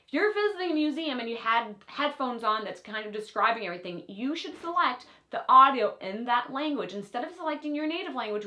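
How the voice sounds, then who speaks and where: Lively, bright-voiced woman teaching languages. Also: fairly high pitch. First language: French. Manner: expressive, acts out imagined questions. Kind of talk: talking-head language lesson.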